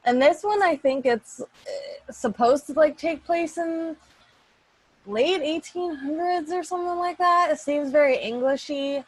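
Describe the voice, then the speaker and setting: Woman host just chatting, kinda high voice, takes her time. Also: measured pace, no room sound, rough recording. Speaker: podcast host and organiser. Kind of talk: casual chat before a recording. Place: in a room at home. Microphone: small personal microphone on a group call.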